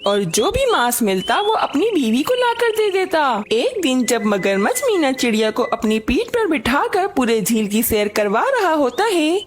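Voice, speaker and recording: Animated, high-voiced woman storyteller. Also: unhurried, hardly any pauses, a little hiss or hum. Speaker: narrator. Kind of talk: scripted children's story narration.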